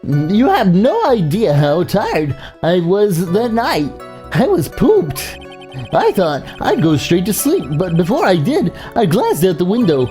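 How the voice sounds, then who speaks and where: A woman's voice: mid-range voice, huge pitch swings, measured pace, warm tone, soft background music. A woman voicing an eager, rambling male clown on the witness stand in a video game, stumbling over phrases. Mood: storytelling. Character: chatty, eager male circus clown witness fond of bad jokes.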